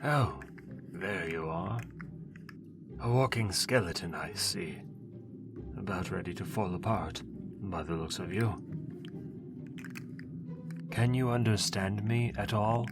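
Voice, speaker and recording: A man's voice: sits low, takes it slowly, pauses often. A man voicing a proud sorcerer bound in a crypt, commanding and bargaining. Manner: imperious, bargaining. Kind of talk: audio drama acting. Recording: music underneath. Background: background music.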